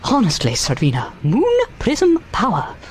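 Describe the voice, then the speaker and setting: A woman's voice: low-pitched, huge pitch swings, normal speed, heavy background noise. A woman voicing an exasperated, sarcastic female cat mentor in a cartoon dub.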